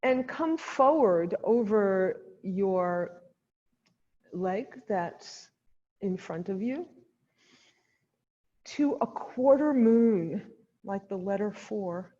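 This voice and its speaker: A woman leading a guided chair yoga class as the instructor, giving gentle step-by-step cues with pauses, in a muffled, reverberant recording. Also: mid-range voice, lively intonation. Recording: dull sound in a long-reverb hall.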